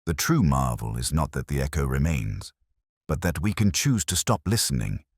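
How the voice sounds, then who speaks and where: A man acting out a philosophical gentleman detective in an audiobook mystery. The voice is very low and husky, with expressive rises and falls. He reasons quietly and deliberately, in grave aphorisms. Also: dry recording, studio quality, exaggerated voice. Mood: grave, reassuring. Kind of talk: audiobook character dialogue.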